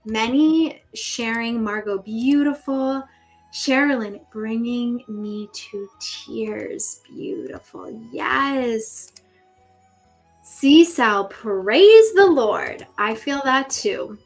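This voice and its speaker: Host: woman, highish voice, effusive and gushing, reads comments from the chat. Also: big pitch swings, very slow, faint music behind, rough recording. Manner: reads viewer comments aloud. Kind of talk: livestream talk show chat.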